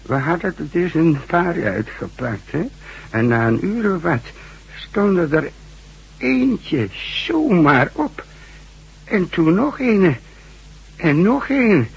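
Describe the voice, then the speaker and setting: Husky, creaky hundred-year-old villager. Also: male voice, fairly high pitch, very animated, muffled recording, full character voice. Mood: awed, halting. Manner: halting, hesitant, rambling storytelling. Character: rambling hundred-year-old village man, friendly, hospitable. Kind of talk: radio drama acting.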